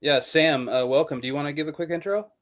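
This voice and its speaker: A man's voice: on the high side, fast talker, fairly bright tone. A man hosting an online committee meeting, calling on people in a loose, rambling way. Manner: loose, filler-heavy, with restarts. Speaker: meeting host. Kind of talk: casual meeting moderation.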